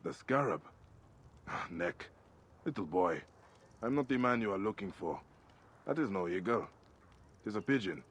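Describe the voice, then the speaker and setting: An actor playing a stern, watchful middle-aged warrior in a video game, muttering terse, brooding observations. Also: male voice, lowish voice, expressive, normal speed, pauses often. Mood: calm, firm. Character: gruff veteran Medjay warrior, stern and watchful. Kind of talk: video game cutscene acting. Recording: loud music behind the voice.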